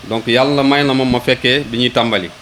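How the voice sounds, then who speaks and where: A man's voice: mid-range voice, fairly even intonation, a little fast, a bit bright, a little hiss or hum, poor audio. A man with a Senegalese accent speaking as an official at a public ceremony, hesitant, often repeating words as he searches for the next one. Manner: hesitant, repeating words, switching between Wolof and French. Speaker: local government official.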